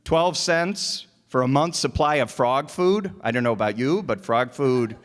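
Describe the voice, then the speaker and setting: A man's voice: medium pitch, animated, measured pace. A man chatting as host, giving a live introduction to a radio play. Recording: echoey hall with long reverb.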